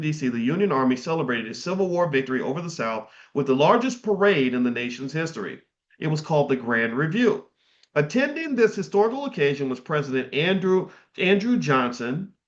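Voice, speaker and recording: A middle-aged man reading passages from a history book aloud and stopping to comment on them in a casual way. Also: a little high. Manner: reads passages and breaks off to comment. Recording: dull, slightly lo-fi sound.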